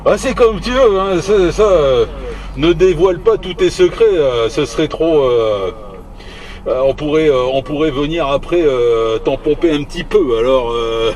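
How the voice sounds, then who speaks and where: Guy on cb in his vehicle, lots of noise, bit high voice, stumbles and repeats himself, jokey. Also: darkish tone. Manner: hesitant, halting, repeating words; jocular, teasing. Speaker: amateur CB radio operator. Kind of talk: casual radio chat.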